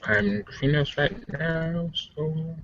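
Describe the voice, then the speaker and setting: A man's voice: a little high, flat delivery, very slow, a bit bright, slight background noise. A man playing a tabletop role-playing game with casual banter, recorded in dull lo-fi sound.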